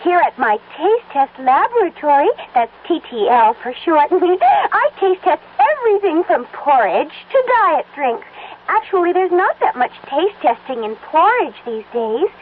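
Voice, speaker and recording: A woman's voice: very high-pitched, very animated, a little slow, slight background noise, heavily acted. An actress playing a perky young female taste tester in an old radio commercial, bubbly and coy. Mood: chirpy. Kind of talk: 1970s radio commercial acting. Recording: tinny lo-fi old radio broadcast.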